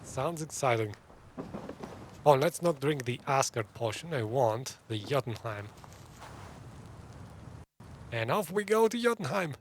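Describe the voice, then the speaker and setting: A male gaming streamer talks casually over his playthrough. His voice is middle in pitch and his manner chatty and reactive. Faint game ambience plays behind him. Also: expressive, a little slow, long pauses, slight background noise. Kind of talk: casual live playthrough commentary. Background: video game ambience.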